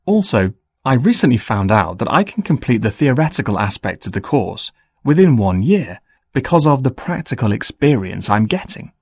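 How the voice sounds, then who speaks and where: Male actor as busy young student: friendly, animated, mid-pitched, carefully enunciated test dialogue. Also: moderate pace, dry recording. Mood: earnest, friendly. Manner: clearly enunciated, scripted. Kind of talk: scripted dialogue for an English listening test.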